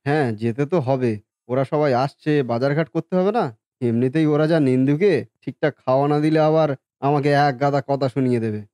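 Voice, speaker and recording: A man's voice: mid-range voice, moderate pace, mellow, clean tone, no room sound, close to an everyday voice. A man voicing a kindly, earnest married brother and husband in a Bengali family cartoon. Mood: worried.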